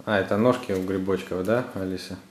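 A man's voice: low-pitched, fairly even intonation, fairly quick. A man, a father talking casually to his children, giving them simple, encouraging, repeated instructions as they do a craft. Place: indoors, living room at home. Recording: roomy home recording with noise.

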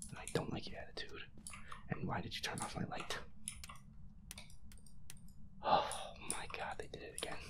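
A young man giving casual gameplay commentary on a horror game, swearing and muttering jitterily in a hushed, breathy voice. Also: low-pitched, poor audio. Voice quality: breathy, hushed, often whispering. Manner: profane, jittery, muttering to himself and at the game's ghost. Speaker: gamer playing a horror game.

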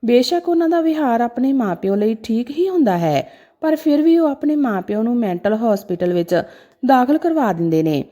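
A woman's voice: a little high, lively intonation, moderate pace, warm tone. A woman narrating a scripted, sentimental story in a dramatic storytelling style.

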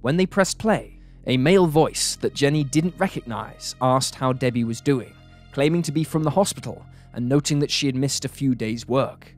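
A man delivers scripted true crime narration in a serious, measured way. His voice sits at a medium pitch, and music plays behind him. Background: background music.

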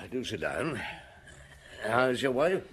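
A man's voice: medium pitch, big pitch swings, extremely slow, heavily acted. A man playing a middle-aged police inspector in a radio drama, terse and dry as he probes. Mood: dry, probing. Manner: terse, probing, dry questioning. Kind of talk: British radio drama acting.

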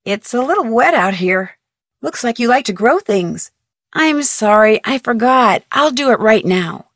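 A woman's voice: fairly high pitch, expressive, unhurried, no echo, clean studio sound. A young woman voicing a curious, polite amateur detective in recorded game dialogue, asking earnest questions. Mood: inquisitive. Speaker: game protagonist. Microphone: close studio mic.

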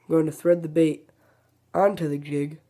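A man's voice: fairly high pitch, normal speed. A man hosting a fishing video, casually demonstrating a tackle trick step by step and often restarting his sentences. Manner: relaxed, rambling, self-correcting explanations.

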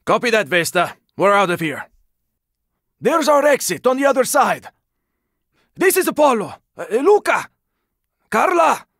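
Video game soldier, very high for a man, husky, terse, sardonic, swinging pitch, dry close sound. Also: very animated, a little slow, long pauses, fairly bright tone, no room sound, full character voice. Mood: weary, grim, then urgent, shouting. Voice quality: raspy, gravelly. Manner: terse, urgent, swearing. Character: gruff, weary, sardonic male squad fighter in zombie apocalypse. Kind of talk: video game character combat barks.

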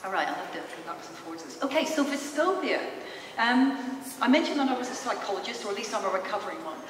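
A woman in her fifties speaks in a fairly high voice, giving an informal talk to an audience. She tells stories conversationally and puts questions to her listeners. The sound is very echoey, picked up from far off in a large, noisy hall. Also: animated, fast. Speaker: speaker, psychologist presenting. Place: large theatre hall, in front of stage. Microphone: handheld mic through PA, captured by distant camera.

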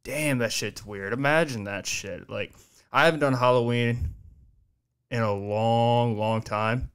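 A man's voice: medium pitch, unhurried, fairly bright tone, clean tone. Young man rambling on his podcast. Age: 20s. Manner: rambling, loose and profane, self-reflective. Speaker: podcast host. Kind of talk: casual solo chat. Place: small home studio room, indoors. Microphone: desk mic on boom arm, headphones on.

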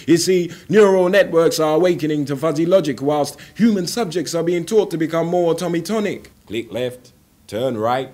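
A man in his twenties performing rhymed spoken word poetry as a poet, with deliberate, dramatic phrasing and stretched words. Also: on the high side, a little mellow. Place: indoor room, seated on a sofa.

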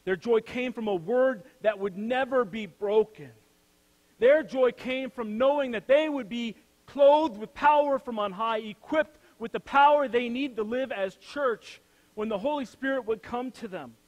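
High-voiced young male pastor preaching. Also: a little slow, rough recording. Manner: builds to loud emphatic peaks, then drops to soft low phrases. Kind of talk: sermon. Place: church sanctuary, speaking from the pulpit.

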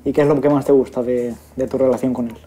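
A man's voice: mid-range voice, little pitch movement, fast talker, over music. A young man co-hosting from a sofa in a small studio set, teasing the guest with cheeky, crude jokes. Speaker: co-host. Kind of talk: casual joking chat.

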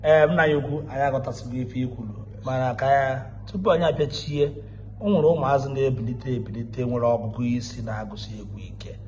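A man's voice: medium pitch, fairly even intonation, quick pace, darkish tone, slight background noise. A man with a Nigerian Igbo accent, politely asking interview questions. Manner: formal, courteous questioning.

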